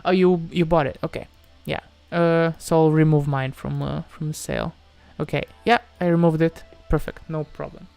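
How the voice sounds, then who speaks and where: A man's voice: fairly high pitch, fairly even intonation, moderate pace, gravelly, hardly any pauses, darkish tone, clear, resonant tone, soft background music. A man from Moldova chatting casually on a livestream as he answers viewers, hesitant, often repeating himself and trailing off. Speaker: live streamer answering chat questions.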